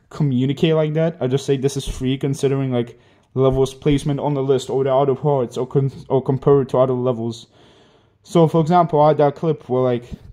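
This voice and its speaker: Young man, native Hebrew speaker, mellow dark tone, defensive, rambling, filler-heavy. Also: mid-range voice. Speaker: online gamer. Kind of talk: casual apology video.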